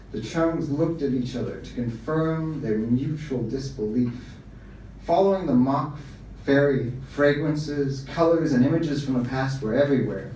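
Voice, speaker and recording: A man giving a live reading of a short story at a bar event, in a measured, even storytelling manner. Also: mid-range voice. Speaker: story reader. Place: bar event room, indoors. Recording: echoey room from far off, faint noise.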